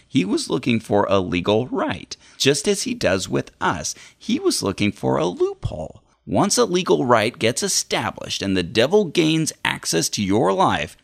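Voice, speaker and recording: A man's voice: mid-range voice, vocal fry, fairly bright tone, exaggerated voice. A man performing a dramatic reading as a deliverance preacher, solemnly instructing and warning against the devil with theatrical emphasis.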